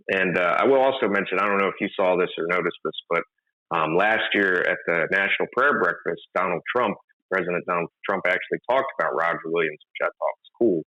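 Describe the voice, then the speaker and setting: Deep bright-toned man, guest filmmaker. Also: lo-fi sound. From Maryland, USA. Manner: hesitant, many fillers and restarts. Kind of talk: conversational interview.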